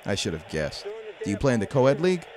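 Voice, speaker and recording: An actor playing a gruff, pushy, opinionated man who scorns and needles, in an audio drama. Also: medium pitch, sing-song, fast talker, crowd noise, lightly acted. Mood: blunt, dismissive. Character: gruff, pushy older-brother type, scornful football fan.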